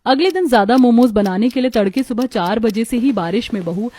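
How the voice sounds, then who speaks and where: Woman doing story narration, very mellow tone, scripted. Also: fairly high pitch, animated, fairly quick.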